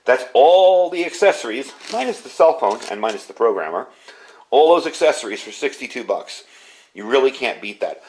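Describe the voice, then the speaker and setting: A middle-aged American man talks as a hobbyist reviewer. His delivery is informal and conversational, with an enthusiastic tone and a mid-range voice. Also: mid-range voice. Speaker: product reviewer, amateur radio hobbyist. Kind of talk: casual product review to camera.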